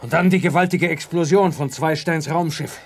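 An actor playing a calm, learned professor who explains in a measured way in a children's sci-fi radio play. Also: male voice, a little high. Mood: explaining.

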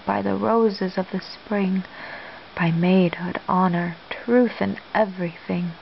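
A woman playing a lovestruck noblewoman in a soft, hushed, tender Shakespearean stage monologue. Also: lowish voice, creaky. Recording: dull lo-fi home recording, faint hiss.

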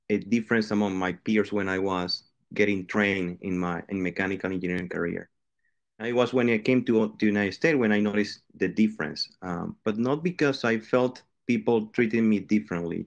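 A man's voice: on the low side, gravelly. A middle-aged man from Venezuela, an engineering professor answering a panel question over a video call, earnest and often restarting his phrases.

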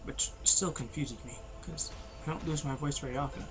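A tired young man, losing his voice, giving low-energy, rambling commentary over a video game. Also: normal speed, music in the background, rough recording. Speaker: gameplay commentator. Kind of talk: casual let's-play commentary.

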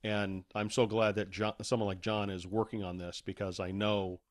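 Male panel guest, fairly low voice, informal conversational delivery. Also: little pitch movement, normal speed. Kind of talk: casual discussion in an interview.

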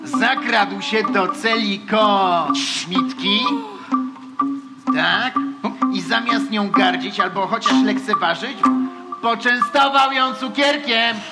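A high-pitched, gloating tormentor, smug and conspiratorial. He narrates theatrically, as in a radio play, with music behind him. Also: slow pace.

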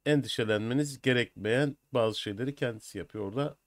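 An older man presenting a software tutorial in an informal, step-by-step way. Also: mid-range voice, fast, no echo, studio quality. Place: small indoor room at a desk. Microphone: USB computer microphone.

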